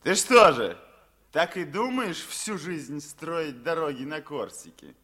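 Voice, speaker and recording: An actor playing a smug, cajoling army sergeant with a mocking, swaggering manner in a 1950s radio drama. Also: male voice, fairly high pitch, very animated, takes it slowly, bright, forceful tone, exaggerated voice.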